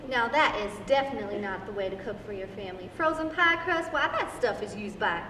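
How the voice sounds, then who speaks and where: A woman's voice: medium pitch, lively intonation, fairly quick, pushed and bright. A woman playing a prim, opinionated older housewife, folksy and lecturing, in an amateur stage reading. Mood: disapproving. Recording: echoey hall, distant mic, faint noise.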